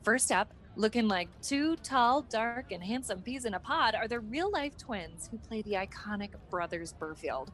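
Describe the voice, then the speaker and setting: A woman's voice: on the high side, animated, normal speed, hardly any pauses, a bit bright. A woman playing a chirpy, eager young red carpet reporter in a comedic radio sketch, polite and gushing.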